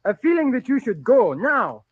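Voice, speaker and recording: High-voiced anxious Mexican bandit henchman. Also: big pitch swings, normal speed, husky, dull audio, full character voice. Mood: worried. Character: anxious, sour bandit henchman, grumbling and pleading. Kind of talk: 1940s radio western acting. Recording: thin, noisy old radio recording.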